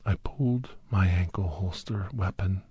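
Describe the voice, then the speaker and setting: A man playing a grave doctor in an ASMR horror story, whispering deliberately in a breathy, husky voice, with pauses and repeated phrases. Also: deep voice, warm tone, dry recording, heavily acted. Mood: hushed, suspenseful. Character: Doctor Andrew Michaels, grave male storyteller recounting a werewolf encounter. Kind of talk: whispered ASMR dramatic first-person storytelling.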